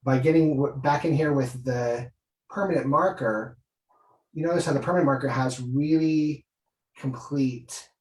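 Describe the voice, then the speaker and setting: A man's voice: medium pitch, unhurried, a little mellow. Casual male illustrator in an echoey room. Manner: enthusiastic, informal, thinking aloud while working. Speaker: guest illustrator. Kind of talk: casual art demonstration and chat. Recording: roomy echo, away from mic.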